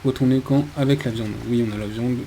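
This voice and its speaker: A man's voice: mid-range voice, steady tone, fast talker, mellow, faint music behind. A man giving casual video game commentary, thinking aloud in a hesitant way. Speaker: video game let's player. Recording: faint background noise.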